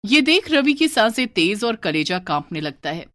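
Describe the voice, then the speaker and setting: A woman's voice: medium pitch, sing-song, moderate pace, a bit bright, no room sound, clean studio sound. A woman narrating a scripted horror story.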